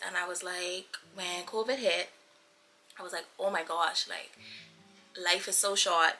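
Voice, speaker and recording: A woman's voice: fairly low pitch, bright, forceful tone, poor audio. A woman of twenty-seven from the Bahamas chatting casually to her viewers as a YouTuber, playful, effusive and slangy. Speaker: YouTuber, vlogger.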